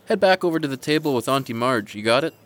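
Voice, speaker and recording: A man plays a clownish, easygoing uncle in an audio drama. His mid-pitched voice swings up and down in a playful, folksy way. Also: expressive, fast talker, a bit bright, close to an everyday voice. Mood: jovial, bustling. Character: jovial, food-loving uncle Porkchop, clownish and easygoing.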